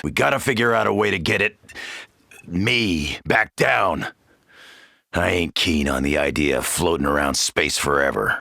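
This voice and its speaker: Gravelly, cocky American soldier. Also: male voice, rumbling low, pushed and bright, no echo, clean studio sound, exaggerated voice. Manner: brash, wisecracking, swaggering, foul-mouthed. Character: tough cocky American soldier, gung-ho zombie-slaying marine. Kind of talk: video game character barks.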